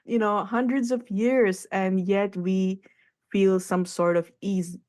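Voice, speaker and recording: A woman's voice: mid-range voice, steady tone, slow pace, a little mellow. A young woman from the Philippines hosting a radio talk show, chatting in a loose, reflective way and laughing often. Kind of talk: casual radio conversation.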